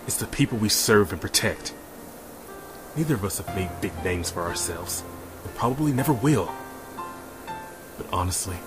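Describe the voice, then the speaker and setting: Loyal, low-voiced soldier comrade, played by a man. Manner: earnest, reassuring. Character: loyal, encouraging male soldier comrade of a werewolf army. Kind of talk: fantasy audio drama dialogue.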